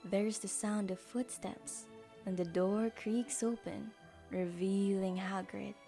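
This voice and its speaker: A woman's voice: mid-range voice, fairly even intonation, takes it slowly, gravelly, dark tone, faint music behind. A woman narrating a scripted audio drama as its storyteller.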